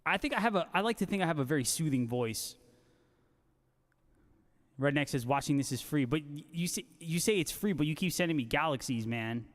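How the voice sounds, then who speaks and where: A man's voice: on the high side, expressive, fairly quick. A man hosting a casual guitar livestream, reading out viewer comments and bantering with joking, slangy talk. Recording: long hall-like reverb on voice.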